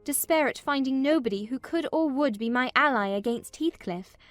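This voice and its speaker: Lady acting a weary proud young gentlewoman, highish voice, plaintive storytelling from a classic novel, faint music. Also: animated, normal speed, dry recording. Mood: forlorn. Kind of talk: dramatized audiobook narration of a classic novel, in first person.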